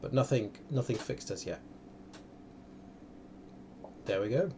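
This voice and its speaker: A man hosting a casual live question session, talking haltingly and often repeating phrases as he goes. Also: medium pitch, expressive. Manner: hesitant, halting, circles back and repeats phrases. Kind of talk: casual unscripted question-and-answer talk. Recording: clearly noisy live stream audio.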